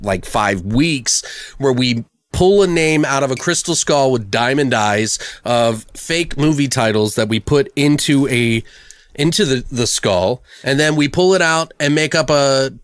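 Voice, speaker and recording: Guy hosting a podcast, joking around, sweary, average pitch, super dry sound. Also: measured pace, no echo. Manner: informal, profane, joking. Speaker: podcast host. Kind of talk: casual podcast banter.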